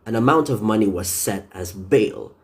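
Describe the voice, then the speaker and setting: A man from the Philippines with a deep voice, talking casually on a live stream, rambling and correcting himself with many fillers. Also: lively intonation, moderate pace.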